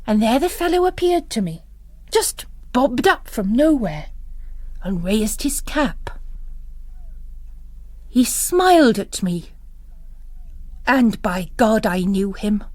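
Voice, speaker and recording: A woman's voice: fairly high pitch, sing-song, slow, pauses often, no room sound, heavily acted. Woman voicing an agitated, world-weary old male priest. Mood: conspiratorial, indignant. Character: agitated ageing male priest who lost his faith.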